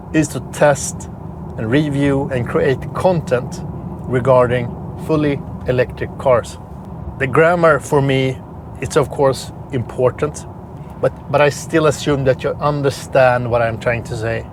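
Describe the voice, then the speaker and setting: Swedish man, non-native English, mid-pitched, casual car reviewer, audible road noise. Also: fairly even intonation. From Sweden. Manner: plain, explanatory, slightly halting with repeated words. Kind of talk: casual vlog narration while driving.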